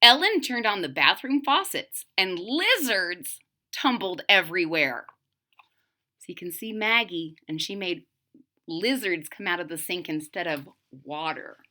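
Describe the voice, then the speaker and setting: A woman's voice: fairly low pitch, lively intonation, a little slow, sharp tone. A woman reading a children's picture book aloud expressively, with explanatory asides to her young listeners. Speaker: storybook reader.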